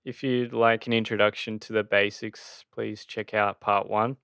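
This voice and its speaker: A man hosts a casual sound design tutorial in a fairly low, smooth voice. He speaks haltingly and thinks aloud as he demonstrates, with plenty of vocal fry. The recording is close and dry. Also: little pitch movement, a bit bright, no echo.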